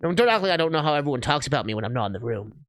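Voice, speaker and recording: A man's voice: fairly high pitch, very animated, racing, a bit bright, voice pushed down. Man playing a defensive, bitter young witch. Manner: indignant, wounded.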